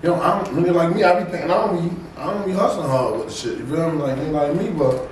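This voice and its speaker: A man's voice: fairly high pitch, a little hiss or hum. Mumbling young man, roomy and distant. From Baltimore. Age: young adult. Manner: mumbled, halting street slang. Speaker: rapper. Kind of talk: casual interview.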